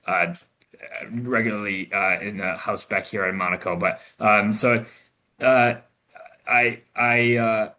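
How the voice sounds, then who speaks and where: A man from Michigan, an investor answering questions on a video call, talking haltingly with many restarts and fillers. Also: lowish voice, bright, forceful tone. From Michigan, USA. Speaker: investor guest.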